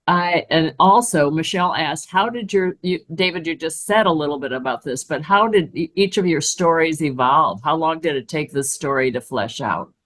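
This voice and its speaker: A woman's voice: deep voice, a bit bright. A woman moderating informally, relaying written comments and putting questions to a group. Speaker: moderator reading audience comments.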